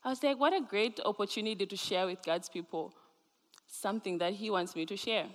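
A young woman from Zambia gives an earnest, warm chapel sermon. Her voice sits in the middle of the female range. The room has a long, lingering reverb. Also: mid-range voice, a little fast. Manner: warm, earnest preaching with light laughs. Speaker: student chapel speaker. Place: large chapel hall.

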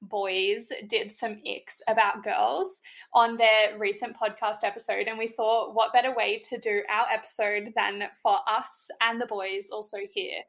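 A woman's voice: medium pitch, flat delivery, unhurried, vocal fry, fairly bright tone. A young woman in her twenties co-hosting a podcast, chatting casually and laughing between remarks. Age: 20s. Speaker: podcast co-host. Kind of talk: casual chat.

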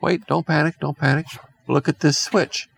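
A man voicing an excitable, unhinged male space crewman in a bedtime story, talking in a jittery rush. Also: a little high, normal speed, creaky, pitched above the performer's own voice. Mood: frantic.

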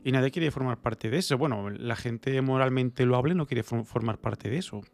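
Man from Spain explaining haltingly. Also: medium pitch, creaky. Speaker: podcast co-host. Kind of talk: casual conversational discussion.

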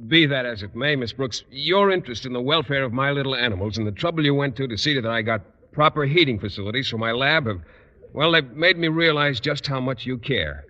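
Bashful stammering biologist. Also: male voice, mid-range voice, animated, a little fast, sharp tone. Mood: earnest. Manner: hesitant, stammering. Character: shy bashful male biology teacher. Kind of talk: 1950s radio comedy acting. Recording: muffled old radio broadcast with reverb.

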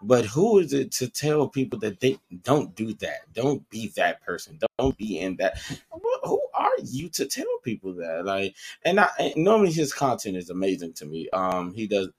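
A man's voice: fairly low pitch, normal speed, husky. A man co-hosting a podcast in casual chat, animatedly retelling what others said. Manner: animated storytelling, quoting others. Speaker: podcast co-host.

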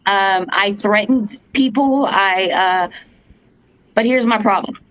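A woman with a fairly deep voice tells her story earnestly, stopping and restarting, with plenty of fillers along the way. The recording is dull and lo-fi, and it sounds very dry, with no room echo. Her pitch sits in the middle for a woman. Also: slow pace. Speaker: podcast co-host, paranormal investigator. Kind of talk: casual storytelling. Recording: dull lo-fi podcast audio, dry.

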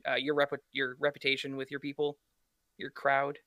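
A man co-hosting a podcast who asks halting, self-conscious questions. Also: mid-range voice, monotone, pushed and bright, dry recording, studio quality. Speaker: podcast co-host. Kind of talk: casual podcast chat.

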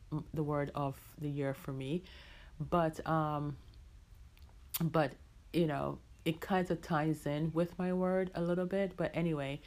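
A woman's voice: sits low, a little mellow. A middle-aged woman hosting a podcast as a casual, reflective personal monologue, repeating phrases for emphasis. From Jamaica. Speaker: podcast host.

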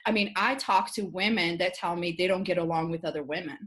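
A woman hosting a podcast, chatting casually and telling things in an animated, candid way. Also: fairly low pitch, fairly quick. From Albania. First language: Albanian. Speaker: podcast host. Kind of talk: casual conversational interview.

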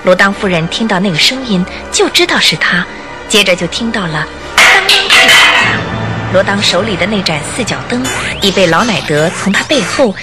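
A woman narrating a Chinese radio drama, telling the story in a measured way with theatrical emphasis. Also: medium pitch, big pitch swings. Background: music.

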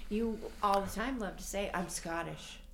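A woman from Colorado co-hosting a casual chat, teasing and quick to argue back. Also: mid-range voice, vocal fry, a loud crowd. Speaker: co-host.

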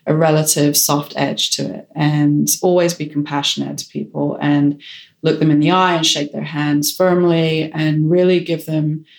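A woman's voice: sits low, level tone, moderate pace, vocal fry. A woman director and photographer answering interview questions in a frank, decisive way, with a strong voice. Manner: decisive, frank, self-assured.

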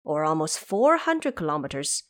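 A woman narrating a scripted audio drama tale, pausing for explanatory asides. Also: low voice, very animated, a bit bright, no room sound, clean studio sound.

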